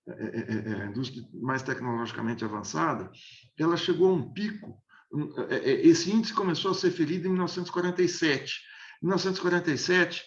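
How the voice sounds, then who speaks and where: A man's voice: on the high side, creaky, echoey room. A man in his sixties from the interior of São state, explaining at length as an interview guest, hesitant with many repeated restarts. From interior of São Paulo state, Brazil. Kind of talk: explanatory conversational analysis. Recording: dull lo-fi audio.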